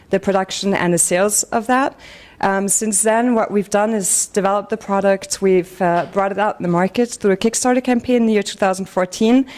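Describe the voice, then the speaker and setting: A woman's voice: mid-range voice, a little mellow. A woman co-founder presenting her company in a fluent, businesslike way, with occasional restarts. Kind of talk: pitch presentation.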